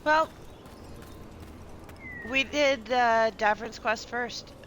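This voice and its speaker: A woman's voice: on the high side, drawn-out words, a bit bright, a little hiss or hum. A woman live-streaming a video game with casual, chatty commentary to herself.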